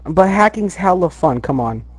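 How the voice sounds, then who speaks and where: A man's voice: high-pitched, animated, normal speed, husky, no echo. A young man making a casual prank phone call, slangy and feigning earnestness.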